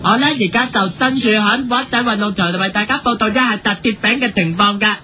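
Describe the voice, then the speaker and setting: A woman's voice: medium pitch, fairly quick, sharp tone, a little hiss or hum, lightly acted. A woman playing a hesitant, bumbling comic sports reporter with a Hong Kong accent, stammering and reluctant. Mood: dutiful reporting.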